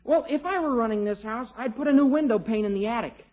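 An actor playing an earnest, politely nagging young son-in-law, deferential and hesitant, in 1950s radio comedy style. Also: male voice, very high voice, quick pace. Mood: deferential, hinting. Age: young adult. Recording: muffled old radio recording.

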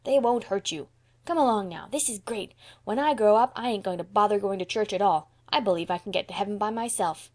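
A woman's voice: medium pitch, fairly quick, darkish tone, natural voice. Woman voicing a defiant, blustering little country boy. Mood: bossy. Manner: indignant, blustering, folksy childish grammar. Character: defiant, reckless, scowling young country boy.

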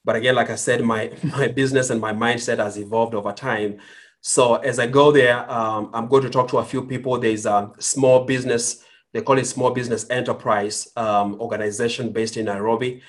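A man's voice: mid-range voice, steady tone, moderate pace. Earnest Kenyan-accented man on a call. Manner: earnest, with frequent fillers and restarts. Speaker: guest entrepreneur. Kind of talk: conversational storytelling.

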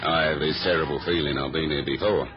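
An actor playing a wry, laconic British secret agent in a 1970s radio spy drama, with a clipped, dry delivery. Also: male voice, a bass voice, steady tone, fast, loud music behind the voice. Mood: terse, calm. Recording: dull old radio recording.

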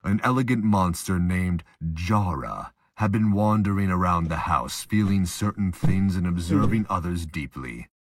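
A man with a husky voice reading scripted narration for an audio drama. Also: low voice, expressive, measured pace, few pauses, slight background noise.

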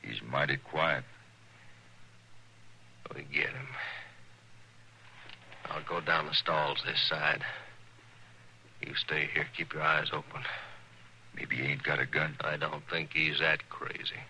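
An actor playing a grim armed Western outlaw in a 1950s radio drama, speaking tersely in folksy rural talk. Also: male voice, very deep voice, flat delivery, slow, long pauses, bright, forceful tone, exaggerated voice. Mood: tense, wary. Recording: noisy old radio drama recording.

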